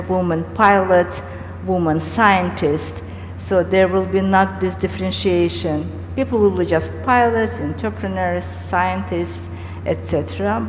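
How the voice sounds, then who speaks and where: A woman moderates a conference panel, speaking very slowly and deliberately in a slightly low voice, pausing on hesitant fillers. The recording is roomy, with a long hall reverb and faint noise. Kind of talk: panel discussion.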